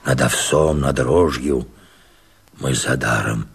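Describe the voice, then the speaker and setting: A bitter old Cossack elder in a radio verse drama. His voice is extremely low and husky. He declaims very slowly, weighing each phrase with defiance. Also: heavily acted. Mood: bitter lament.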